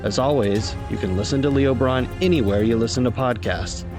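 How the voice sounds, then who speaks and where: Male announcer over clear music. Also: mid-range voice, a little fast. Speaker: show's writer, producer and announcer. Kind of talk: scripted credits and promo announcing.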